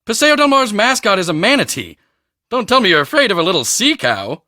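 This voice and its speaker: A man voicing a cocky, boastful teenage high school football star in a video game, with a swaggering, emphatic, self-important delivery. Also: big pitch swings, brisk, bright, forceful tone, dry recording, studio quality, exaggerated voice. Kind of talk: video game character dialogue.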